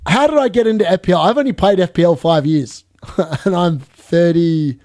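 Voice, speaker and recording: Excitable high-voiced man in his thirties. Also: moderate pace, no echo, studio quality. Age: 30s. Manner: chatty, excitable, laughs often, reads viewer questions aloud. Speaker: fantasy football streamer. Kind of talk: live stream Q&A chat.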